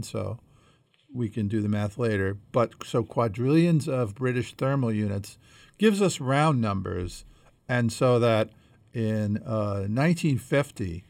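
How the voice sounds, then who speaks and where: A man co-hosting a conversational podcast, explaining things in a measured way as he thinks aloud. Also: lowish voice, vocal fry, darkish tone, no room sound. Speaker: podcast co-host.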